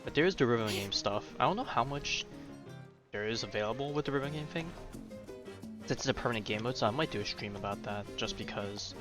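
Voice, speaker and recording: A man's voice: medium pitch, animated, brisk, music in the background. A young man chatting casually as he streams a game, rambling and often interrupting himself. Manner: rambling, self-interrupting, informal. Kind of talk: casual livestream chat over gameplay.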